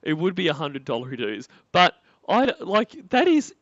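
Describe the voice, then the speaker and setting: A man's voice: a little high, wildly swooping pitch, moderate pace, fairly bright tone, no room sound. A man cracking jokes and laughing often as co-host of a stream reaction. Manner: mocking, laughs a lot. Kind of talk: casual banter. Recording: dull, dry home mic.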